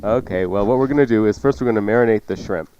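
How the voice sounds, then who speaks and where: A man's voice: fairly low pitch, fast talker, mellow, lo-fi sound, a little hiss or hum. A man hosting a cooking show, chatting through recipe instructions off the cuff with joking asides. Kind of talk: casual unscripted radio cooking instruction.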